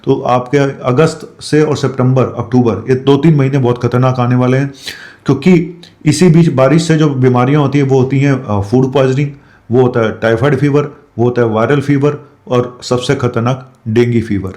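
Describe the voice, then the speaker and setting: Middle-aged doc talking straight to viewers, mellow low-key voice, lots of um and pauses. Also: male voice, fairly even intonation. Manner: explanatory, addresses viewers directly with frequent fillers. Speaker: doctor giving health advice. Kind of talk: direct-to-camera health talk.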